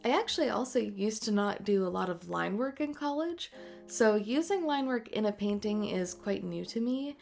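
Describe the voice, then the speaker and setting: Raspy, congested woman rambling softly. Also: mid-range voice, lively intonation, moderate pace. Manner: soft, rambling, many ums and uhs. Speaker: artist and tattooer. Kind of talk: voice-over chat during a speedpaint. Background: faint music.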